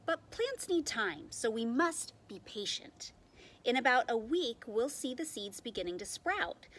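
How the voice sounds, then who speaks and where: A kindly female schoolteacher read by a woman explains things to a young class in a storybook. She is gentle and encouraging, with a slow, patient delivery and lively intonation. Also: fairly high pitch, full character voice. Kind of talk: children's storybook read-aloud.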